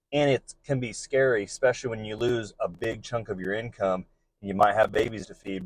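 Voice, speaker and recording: A man with a medium-pitched voice talks informally, like a driver advising his peers in a vlog. He is earnest and encouraging, often restating his points. The sound is dry, with very little room in it. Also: darkish tone, dry recording, rough recording. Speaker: delivery driver giving advice to peers. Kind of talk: informal vlog advice monologue.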